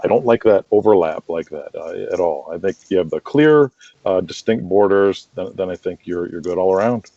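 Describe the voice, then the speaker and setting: A man with a low, mellow voice talks through his opinions as an interview guest. He is emphatic and leans on examples to explain himself. The recording is dull and lo-fi. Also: moderate pace, vocal fry. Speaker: interview guest, wrestling promoter and booker. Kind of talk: opinionated conversational interview talk. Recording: dull lo-fi, roomy echoing sound.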